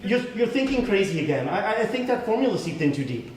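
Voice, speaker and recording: An actor playing a devoted but dismissive middle-aged husband, pedantic and emphatic, in a live stage play. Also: male voice, sits high, very animated, quick pace. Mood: exasperated. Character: devoted, dismissive longtime husband, oddly precise, exasperated. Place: stage of a theater, live performance. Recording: very echoey hall, faint noise.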